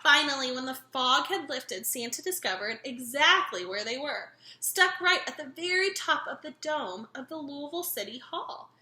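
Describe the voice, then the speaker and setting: Lady doing a kids read-aloud, really bright voice, bit echoey room. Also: high voice, moderate pace, few pauses. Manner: expressive, engages listeners with questions. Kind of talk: animated children's picture book read-aloud with asides to young listeners.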